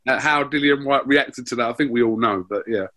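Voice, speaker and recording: A polite, easygoing man hosting a casual sports interview. He speaks at an ordinary middle pitch and keeps the talk moving toward its close. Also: fairly quick, pushed and bright. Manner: polite, easygoing, wraps things up.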